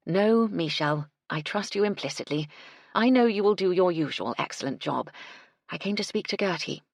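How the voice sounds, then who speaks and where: A woman voicing a kindly, firm lady in charge of a household, speaking in a measured, genteel and reassuring manner. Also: lowish voice, lively intonation, gravelly, dry recording, voice pushed up. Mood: gentle.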